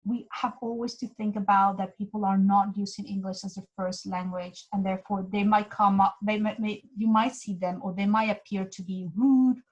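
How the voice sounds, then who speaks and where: A woman's voice: mid-range voice, highs cut off. Woman giving a talk. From Mexico. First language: Spanish. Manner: earnest, with frequent restarts and repeated words. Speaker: guest speaker. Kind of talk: presentation talk.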